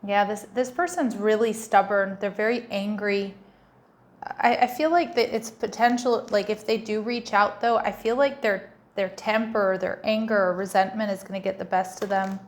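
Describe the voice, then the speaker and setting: A woman with a croaky voice from a cold, giving a casual, chatty tarot reading and often addressing her listeners directly. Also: medium pitch, fairly even intonation, moderate pace. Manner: frequent restarts and fillers, warm addressing. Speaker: tarot reader.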